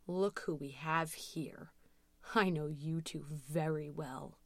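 Woman voicing a slow whispering dark creature, menacing. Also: no echo, heavily acted. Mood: quiet menace. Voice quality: low, breathy whisper. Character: tall dark ghostly creature, menacing, taunting, imposing.

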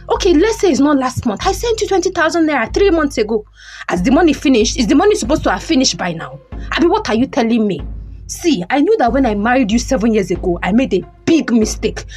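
A man plays an estranged Nigerian husband in an audio drama. He is cold, scornful and domineering, and repeats himself to drive each point home. There is faint music underneath. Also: very high voice. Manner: domineering, repeats phrases for emphasis.

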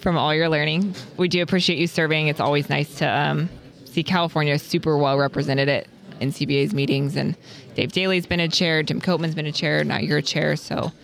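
A woman's voice: deep voice, steady tone, a little fast, vocal fry. A woman hosting a podcast, chatting casually and conversationally with her guests. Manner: friendly, conversational interviewer. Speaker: podcast host. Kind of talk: casual interview.

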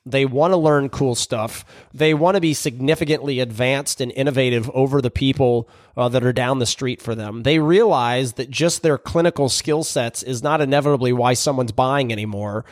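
A man hosting a podcast in a casual, energetic monologue, his voice still a little raspy and hoarse from recent voice loss. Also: medium pitch, normal speed, few pauses, dry recording, clean studio sound. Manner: energetic, conversational, frequent restarts. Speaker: podcast host.

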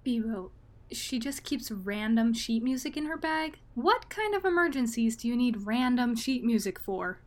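A sardonic young woman, played by an actress in an audio comedy. She is blunt and dismissive, reluctant about everything, in a fairly high casual voice. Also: animated, normal speed, natural voice. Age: young adult. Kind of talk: comedic audio drama acting.

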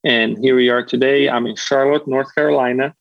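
A man in his thirties from Brazil, speaking English with a Brazilian accent as a podcast guest, relaxed and modest. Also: medium pitch, brisk, creaky, no echo, highs cut off. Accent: Brazilian Portuguese. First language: Portuguese. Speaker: guest, orthodontist. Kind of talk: casual interview talk.

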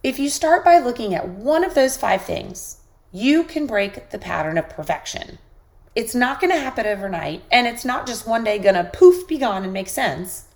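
A woman hosting a podcast, giving an encouraging motivational talk while sick with a squeaky voice. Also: lively intonation, normal speed. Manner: encouraging, coaching listeners directly. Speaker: podcast host.

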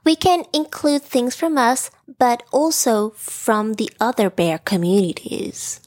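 A woman voicing an excited, cheerful young female bear with enthusiastic delivery in a children's bedtime story. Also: fairly high pitch, slow pace, dry recording, studio quality. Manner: bright enthusiastic exclamations.

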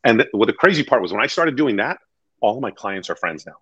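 Male interview guest: deep, earnest, emphatic storyteller with wide swings in pitch, recorded in a dull, lo-fi sound. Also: very animated, brisk, fairly bright tone, husky, no echo. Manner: earnest, emphatic, acts out quoted dialogue. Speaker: real estate agent being interviewed as a guest.

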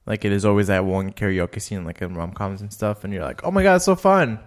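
Casting: man, lowish voice, easygoing podcast chatter, filler-heavy. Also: expressive, quick pace. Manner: rambling, lots of fillers and swearing. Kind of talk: casual chat.

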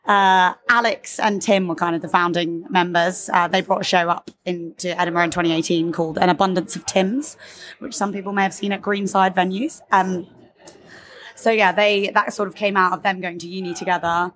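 A woman, a theatre company member, chatting casually in an interview with many ums and restarts; her voice is slightly husky today. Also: on the low side, fairly even intonation, moderate pace, fairly bright tone.

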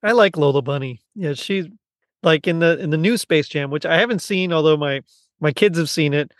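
A middle-aged man with a fairly high voice chats casually as a podcast host. The sound is very dry, with no room echo. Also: a little fast. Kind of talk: casual chat, fan discussion.

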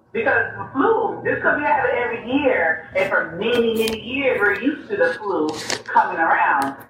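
A doctor calls in to the show and explains things in a measured, emphatic way. The voice sounds far off and heavily echoey, with clear background noise. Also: medium pitch, highs cut off. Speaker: urgent care doctor, caller. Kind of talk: phone-in explanation.

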